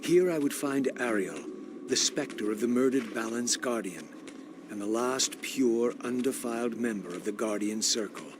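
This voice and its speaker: An actor playing a brooding undead wraith in a video game, narrating with formal, eloquent, theatrical diction and a solemn manner. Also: male voice, medium pitch, expressive, normal speed, background noise, close to an everyday voice. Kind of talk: dramatic scripted game narration.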